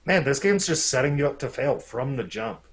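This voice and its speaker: A man's voice: mid-range voice, expressive, a little fast. A man co-commentating on a game stream with casual, wry side remarks. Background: game music.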